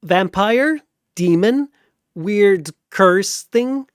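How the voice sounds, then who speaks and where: A man's voice: high voice, expressive, drawn-out words, clear, resonant tone, no room sound, studio quality, voice pushed up, exaggerated voice. A man voicing a plucky young female monster slayer in a battle story, wry, flippant and quippy. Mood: probing, flippant.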